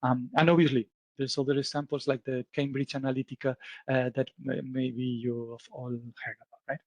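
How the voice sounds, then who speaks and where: A man's voice: medium pitch, little pitch movement, moderate pace, a little mellow, dry recording. A man with a Spanish accent giving a conference talk, explaining step by step with many fillers and frequent "right?" checks. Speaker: lecturer at a symposium. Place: lecture hall.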